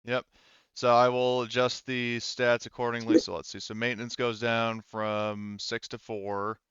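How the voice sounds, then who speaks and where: A man narrating a tabletop roleplaying game as game master, explaining things informally and haltingly as he improvises. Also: mid-range voice, level tone, a bit bright, clear, resonant tone, dry recording, lo-fi sound.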